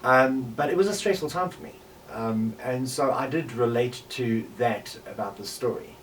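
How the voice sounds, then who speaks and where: A South African man in his forties reflecting on personal experience in a halting way, with repeated words and pauses. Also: medium pitch. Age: 40s. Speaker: podcaster and voice actor. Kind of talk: personal reflection, interview-style talk. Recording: distant mic with faint background noise.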